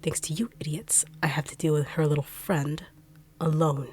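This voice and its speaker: A young woman voicing a blunt, sharp-tongued treasure-hunting bodyguard in an audio roleplay drama, brusque and mocking. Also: low voice, steady tone, moderate pace, close to an everyday voice. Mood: menacing.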